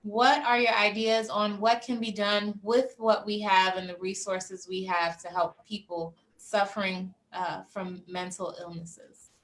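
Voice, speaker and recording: A woman hosting a discussion panel, speaking in a measured way with hesitant fillers. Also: mid-range voice, flat delivery. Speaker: host.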